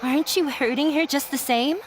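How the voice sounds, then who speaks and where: A serious young woman of learning from a video game, played by an actress. The voice sits fairly high, and the delivery is frank, measured and pessimistic. There is faint noise underneath. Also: lightly acted. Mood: blunt. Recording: faintly noisy game capture.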